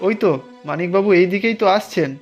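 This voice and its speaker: A man's voice: high-pitched, fast talker, muffled recording, soft background music. A man voicing a humble, resigned village day labourer in a cartoon, with folksy, plain-spoken delivery. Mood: uneasy, advising. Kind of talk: cartoon voice acting.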